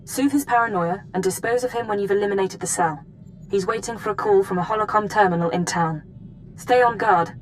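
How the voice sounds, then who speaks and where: A woman's voice: mid-range voice, a little fast, slight background noise, lightly acted, low-quality recording. A woman playing a cool, businesslike intelligence officer who gives a formal, precise mission briefing in a video game.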